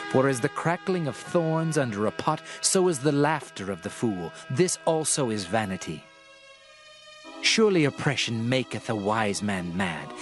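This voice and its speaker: A man narrates scripture as a dramatized audio Bible, with music playing behind him. His mid-pitched voice is solemn and measured, setting out each phrase with deliberate, oratorical care. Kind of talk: dramatized King James Bible reading.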